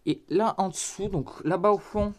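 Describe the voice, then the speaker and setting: A man with a fairly high voice walks through his collection in a casual, halting way, stopping on filler words as he points out each piece. He sounds stuffy from a head cold, recorded on a handheld phone in a converted attic. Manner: halting, filler-heavy, points things out one by one. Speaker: hobbyist presenting his toy collection. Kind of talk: casual show-and-tell narration. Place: converted attic room with creaky floor. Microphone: phone camera held in hand.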